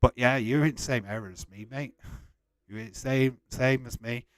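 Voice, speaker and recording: A man's voice: mid-range voice, normal speed, no echo, studio quality. A man in his forties with a Yorkshire accent, hosting a casual livestream and reading out comments in a blunt, chummy, informal way. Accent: Yorkshire English. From West Yorkshire, England. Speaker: livestream host. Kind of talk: casual watch-along chat, reading out viewer comments.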